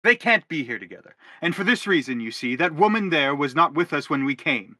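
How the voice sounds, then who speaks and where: An actor playing an earnest, insistent gentleman in a stage play, politely and urgently explaining himself. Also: fairly high pitch, animated, fairly quick, no echo, clean studio sound. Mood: urgent, soothing.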